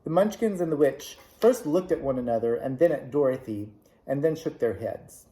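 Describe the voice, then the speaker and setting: Expressive man reading a storybook aloud. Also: medium pitch, moderate pace. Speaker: club vice president reading aloud. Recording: echoey room.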